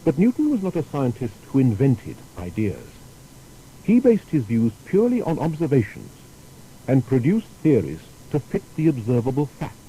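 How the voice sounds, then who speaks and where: A man delivers scripted documentary narration in a measured, explanatory manner. His voice is very dark and soft-edged, yet his pitch moves in lively swings. There is faint noise on the recording. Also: poor audio.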